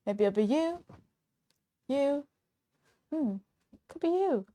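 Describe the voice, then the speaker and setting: A woman's voice: sits high, lively intonation, slow, warm tone. A woman co-hosting a casual show in a chatty way, hesitant and often stumbling over repeated words. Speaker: show co-host.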